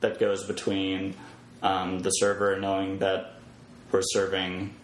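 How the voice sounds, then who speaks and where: A man speaking as a podcast guest, casually explaining technical things in halting pieces. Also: low-pitched, monotone. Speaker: podcast guest, web developer. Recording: roomy echo, clear background noise.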